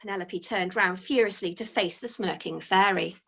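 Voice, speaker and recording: A woman reading her own children's story aloud as its author, in an expressive storytelling style. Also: medium pitch, moderate pace, vocal fry.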